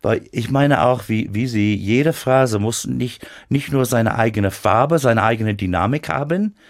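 A man whose first language is English, with an American accent, speaking German as a radio interview guest and often stopping to search for words. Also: medium pitch. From United States. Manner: halting, searching for German words, frequent restarts. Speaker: guest pianist.

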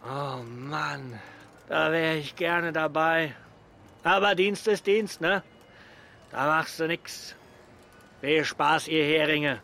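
An actor playing a gruff, bossy but good-natured pirate harbour master in a children's radio play. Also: male voice, on the high side, slow pace, long pauses, bright, forceful tone, heavily acted. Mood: jovial. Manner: bossy, teasing, theatrical.

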